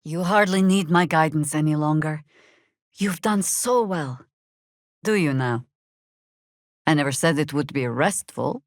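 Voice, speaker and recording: An actress playing a seasoned, sardonic druid warrior woman in a fantasy video game, with a dry, measured, commanding delivery. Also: low voice, dry recording. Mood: dry, steady. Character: seasoned, sardonic female druid warrior, world-weary but resolute. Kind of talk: scripted fantasy game dialogue with shouted spell incantations.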